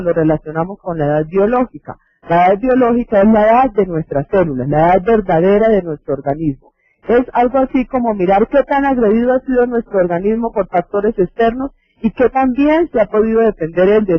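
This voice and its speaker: A man's voice: sits high, no echo. A man with a Colombian accent giving an explanatory health lecture in a didactic way, posing rhetorical questions. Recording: dull lo-fi videoconference audio.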